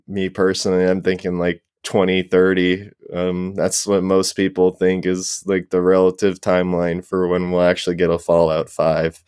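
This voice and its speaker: A man speaking as a podcast panel guest, casually explaining his view in a measured, tentative way. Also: sits low, darkish tone, smooth, dry recording, clean studio sound.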